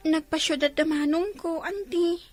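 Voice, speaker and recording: An actress playing a young, outspoken but respectful daughter in a radio comedy-drama. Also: female voice, very high voice, normal speed, darkish tone, soft background music. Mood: polite, defensive.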